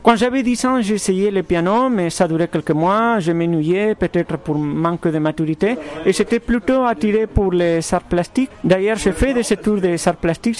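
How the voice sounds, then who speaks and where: Guy, pretty high voice, composer in an interview, stops and restarts a lot as he thinks. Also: a little mellow. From Argentina. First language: Spanish. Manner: thoughtful, halting, many restarts. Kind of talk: radio interview.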